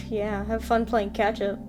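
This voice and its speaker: A woman's voice: mid-range voice, level tone, measured pace, over music, natural voice. A woman voicing a grumpy, dry young apprentice cat in an amateur audio story. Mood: weary, sarcastic.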